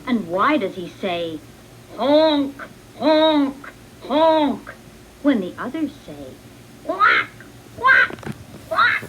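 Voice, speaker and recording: Woman as a puzzled, slow-talking father duck. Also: high voice, wildly swooping pitch, background noise, exaggerated voice. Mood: puzzled, disapproving.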